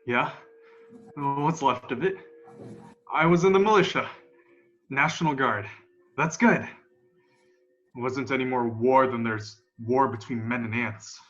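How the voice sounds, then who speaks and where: A young man playing a grim, defiant militia survivor of an alien invasion in an amateur radio play, with a folksy, halting, emphatic delivery. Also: mid-range voice, a bit bright, lightly acted. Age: young adult. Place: room at home. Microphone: video call. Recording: dull, lo-fi video call.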